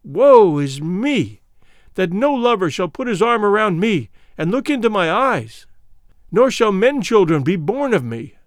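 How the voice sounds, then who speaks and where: A man's voice: sits high, wildly swooping pitch, slow pace, no room sound, clean studio sound, full character voice. A man voicing a terrified young woman who pleads and laments in trembling tones. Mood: pleading.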